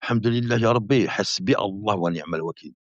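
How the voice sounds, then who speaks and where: A man in his late fifties talks casually on a live stream, calling his viewers brother and laughing as he rambles. His voice sits very low. The sound is dull and lo-fi, dry and close with no room around it. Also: brisk, no echo. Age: 50s. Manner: chatty, addresses viewers as brother; laughing often, relaxed rambling.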